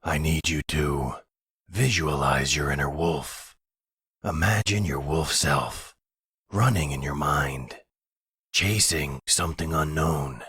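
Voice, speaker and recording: A man acts out a fatherly werewolf pack leader for a roleplay audio. The voice sits extremely low and is heavily husky. He guides in slow, measured, formal phrases, calm and soothing, with a very dry, close sound. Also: dry recording, full character voice. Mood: soothing, guiding.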